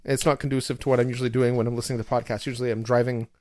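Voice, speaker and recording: A man's voice: medium pitch, very fast. A man co-hosting a hobby podcast, chatting casually with frequent restarts. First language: not English. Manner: relaxed, rambling, with frequent restarts. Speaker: podcast co-host. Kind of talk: casual chat.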